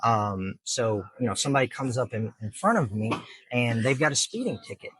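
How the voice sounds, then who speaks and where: A middle-aged man with a husky voice at an ordinary pitch. He speaks earnestly and plainly, explaining patiently with plenty of fillers as an interview guest. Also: animated, fairly quick, a bit bright, no echo, poor audio. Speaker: district judge, guest. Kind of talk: casual interview answers.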